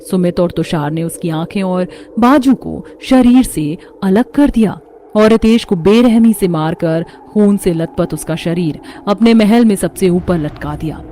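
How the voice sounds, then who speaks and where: Mellow woman narrating a horror story. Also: medium pitch, slight background noise.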